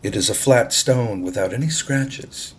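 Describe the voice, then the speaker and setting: A man voicing a fanciful, excitable little boy in a storybook reading. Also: mid-range voice, a little slow, slightly rough. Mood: dreamy. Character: excitable little six-year-old boy, fanciful and stubborn.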